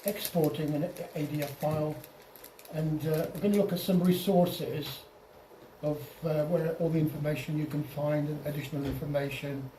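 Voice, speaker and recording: Man, informal tutorial presenter, halting restarts, roomy echo, distant mic with faint noise. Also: on the high side, fairly even intonation, dark tone. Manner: halting, repeats and restarts phrases. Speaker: presenter at an amateur radio club. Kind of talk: informal software tutorial over slides.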